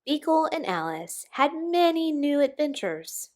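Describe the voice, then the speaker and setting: A woman reading a children's picture book aloud expressively, with dramatic pauses and emphasis on key words. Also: sits high, sing-song, a little mellow. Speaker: storybook reader.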